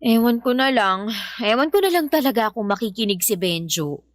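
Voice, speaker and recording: A woman's voice: fairly high pitch, expressive, normal speed, no room sound, close to an everyday voice. A woman playing an exasperated young mother who complains emphatically about her partner in a Filipino radio-style drama. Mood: resentful, fed up.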